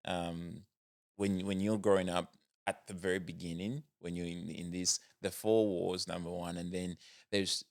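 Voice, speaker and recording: A man's voice: deep voice, flat delivery, measured pace, no echo, clean studio sound. A young man from Zambia, a startup founder, sharing reflective stories as a podcast guest. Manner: reflective, storytelling, frequent restarts. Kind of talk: casual podcast conversation.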